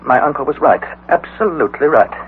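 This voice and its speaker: An earnest young man tells his tale and frets aloud, played by a man. His voice sits fairly high and moves in lively swings. The sound is a thin, phone-like old broadcast with faint hiss. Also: brisk, lightly acted. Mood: reflective narration. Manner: earnest, dramatic storytelling. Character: young male nephew narrator, earnest and anxious. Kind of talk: 1940s radio acting.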